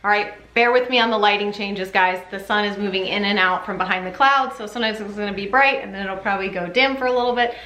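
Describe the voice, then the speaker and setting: A woman with a medium-pitched voice chats informally as a parenting vlogger. She rambles along in a giggly, conversational way. The sound is noticeably roomy, recorded in a bedroom at home. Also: fast, hardly any pauses, sharp tone. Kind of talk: casual chatty vlog. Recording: echoey room.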